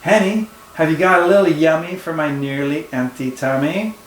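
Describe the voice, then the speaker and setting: A man's voice: on the high side, normal speed, reverberant, close to an everyday voice, low-quality recording. A man voicing a hungry, greedy little male tomten in a children's storybook, with a singsong, wheedling manner that turns to threats. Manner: singsong wheedling demands, later shouting threats.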